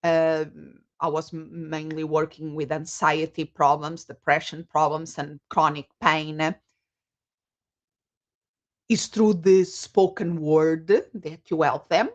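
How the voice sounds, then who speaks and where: A woman from Portugal, a psychologist and coach, answering interview questions in a reflective way with many pauses, over a video call. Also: sits low, flat delivery.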